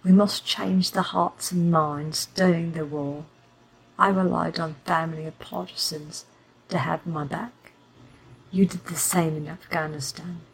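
A woman takes on an elderly grandmother who once fought as a partisan. She speaks in a lowish, steady voice with rallying conviction, like a general writing to her troops. A faint background hiss runs under the audio drama recording. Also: unhurried, creaky, low-quality recording. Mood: resolute. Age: older. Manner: steady, rallying letter-reading. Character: elderly grandmother, war veteran, resolute.

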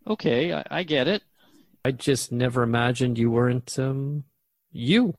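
A man's voice: mid-range voice, measured pace, no room sound. Curious radio host, dry close sound man acting. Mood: bewildered. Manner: inquisitive, conversational. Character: earnest, curious male radio host questioning a spirit guest. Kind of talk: scripted radio drama as talk show.

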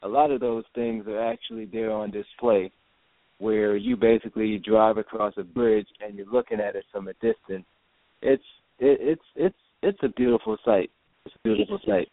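A man from Jamaica, a guest in an interview, talking haltingly with many restarts. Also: fairly low pitch, sing-song, vocal fry, no echo. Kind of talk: casual interview talk. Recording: phone line, dry.

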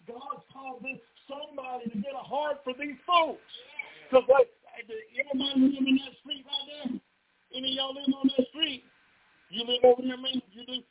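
A man's voice: very high-pitched, level tone, normal speed. A Southern-accented man preaching a fervent, folksy revival sermon to a live congregation, calling out to the crowd. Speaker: pastor. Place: revival tent near a residential street. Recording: tinny phone-line live stream.

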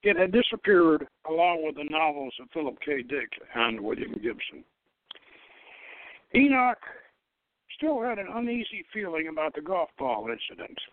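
A man giving a halting, wry dramatic reading of a story, stumbling over the text now and then. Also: a little high. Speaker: host reading own novel aloud. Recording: thin, dry, phone-like radio line.

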